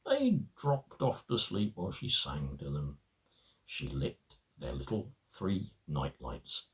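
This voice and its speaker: A middle-aged man reading a children's story aloud, halting and emphatic, with small stumbles. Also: low voice, gravelly, rough recording. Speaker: amateur storybook reader.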